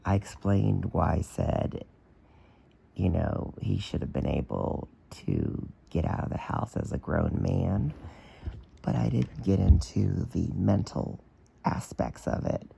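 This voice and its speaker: A man with a hoarse, damaged voice giving blunt, opinionated commentary in a casual video. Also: sits low, animated, takes it slowly, mellow, low-quality recording. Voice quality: damaged, strained voice. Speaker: vlogger.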